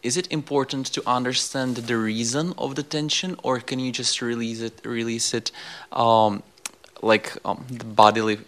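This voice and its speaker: A man's voice: medium pitch, fairly even intonation, normal speed, few pauses, a bit bright. A man, a meditation student, asking a question hesitantly with many fillers.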